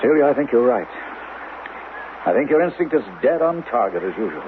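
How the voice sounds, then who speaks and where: An actor playing a steady, defiant rebel husband, measured and reassuring in a radio drama. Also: male voice, mid-range voice, narrow, tinny audio, natural voice. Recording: band-limited 1970s radio broadcast, faint noise.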